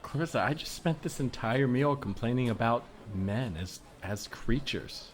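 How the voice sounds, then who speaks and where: A man's voice: mid-range voice, expressive, normal speed, soft background music, lightly acted. A man playing a needy, over-eager young woman in improvised comedy, talking in a hesitant, rambling, awkward way. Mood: flabbergasted, grateful.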